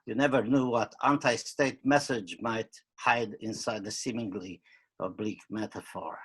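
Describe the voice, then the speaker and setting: An older man from Romania giving wry, deadpan opening remarks with many hesitations, on a webcam video call from a book-lined study at home. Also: fairly low pitch, normal speed, husky. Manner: wry, deadpan humor with frequent uh fillers. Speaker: curator and poet. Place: home study with bookshelves, indoors.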